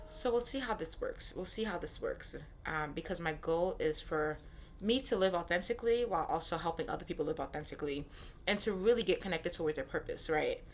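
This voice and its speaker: Candid low-voiced woman podcaster. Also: fairly bright tone, a little hiss or hum. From born in Nigeria, raised in Brooklyn, New York. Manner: candid, repeats phrases, frequent "right?" asides. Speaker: podcast host. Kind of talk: casual solo podcast talk.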